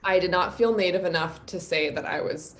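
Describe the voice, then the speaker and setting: Woman from nyc chatting in a live interview, kinda low voice, thoughtful, lots of ums. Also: fairly quick. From New York City. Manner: reflective, openly personal, many fillers. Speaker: guest musician. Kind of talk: casual live-stream interview.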